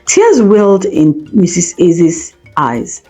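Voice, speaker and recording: Lively low-pitched woman telling a story. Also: slow, breathy edge. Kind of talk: scripted folktale narration. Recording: music behind the voice. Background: background music.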